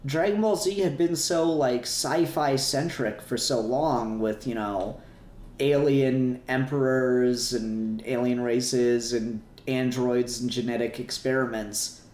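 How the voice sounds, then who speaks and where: A man's voice: medium pitch, slow pace, a little mellow. Guy on a fan podcast, rambly and sweary, casual chat, echoey room sound. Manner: rambling, profane. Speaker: podcast co-host. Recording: roomy, echoey voice recording.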